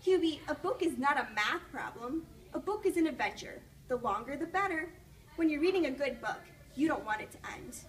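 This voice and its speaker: A caring mother from a children's book, played by a woman reading aloud. Her voice is high and patient. The sound is a little distant, over faint noise. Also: lively intonation, fairly quick, fairly bright tone. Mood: encouraging.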